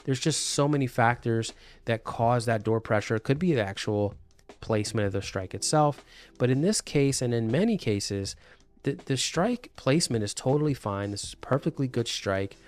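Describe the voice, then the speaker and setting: A man's voice: medium pitch, lively intonation, normal speed. A man presenting a casual explainer video as an installer, talking in a conversational, explanatory way and repeating points for emphasis. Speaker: presenter, intercom and door hardware installer.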